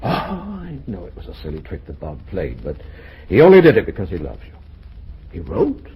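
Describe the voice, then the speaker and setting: An actor playing an earnest, gently reproachful middle-aged father in an old-time radio drama. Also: sits low, huge pitch swings, normal speed, slight background noise, exaggerated voice, rough recording.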